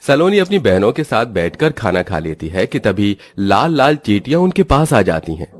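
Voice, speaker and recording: A man's voice: on the low side, sing-song, moderate pace, dry recording. A man reading scripted narration for a children's cartoon story as its narrator.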